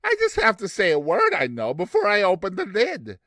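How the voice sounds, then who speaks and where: A man voicing a small, polite, eager boy in a bright, childlike way for a storybook reading. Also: high voice, wildly swooping pitch, a little fast, no echo, clean studio sound, voice pushed up, exaggerated voice. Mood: matter-of-fact, proud.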